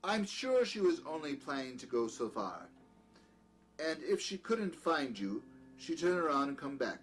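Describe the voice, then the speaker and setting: An actor playing a middle-aged, scheming doctor in an audio drama, courteous and old-fashioned but quick to command. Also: male voice, a little high, very animated, fairly bright tone. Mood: feigned innocence. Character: peculiar, scheming doctor, outwardly courteous, domineering to his servant.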